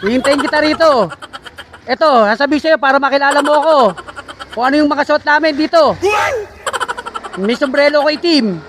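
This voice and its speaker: A man's voice: extremely high pitch, moderate pace, long pauses, fairly bright tone. A man talking casually as if on a phone, playing a prank with a taunting, cocky manner. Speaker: prankster faking a phone call. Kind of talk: loud casual phone talk. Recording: very noisy outdoor street recording.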